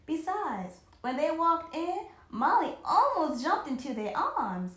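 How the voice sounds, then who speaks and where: An eager, friendly storybook veterinarian , a man voiced by a woman reader, speaks in a high, swooping voice in an echoey room. The delivery is emphatic and reassuring, full of excitement. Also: takes it slowly, exaggerated voice.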